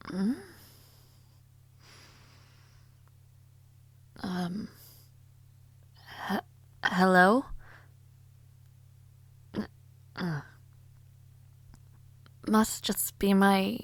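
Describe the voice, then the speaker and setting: A woman plays a spirited young noblewoman mage in an audio roleplay, playful and strong-willed, who giggles often and drops into soft whispers. Her voice sits a little low, and she takes her time over her formal, old-fashioned phrasing. The sound is close and dry. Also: no room sound. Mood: curious.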